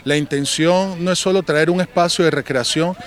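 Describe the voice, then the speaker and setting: A man's voice: on the high side, fairly even intonation, normal speed. A man giving an earnest, preacherly interview answer as a volunteer leader outdoors.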